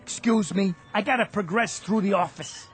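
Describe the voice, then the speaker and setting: An actor playing a matter-of-fact office coffee vendor with a clipped patter in a radio drama. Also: male voice, high-pitched, normal speed, natural voice. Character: plain-spoken male coffee vendor doing office rounds.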